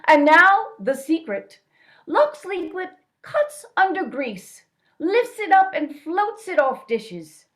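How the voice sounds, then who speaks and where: Lady doing a old hollywood starlet radio ad, high lilting voice, theatrical, unhurried sales pitch. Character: polished 1930s film star selling soap. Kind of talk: 1930s radio advertisement acting.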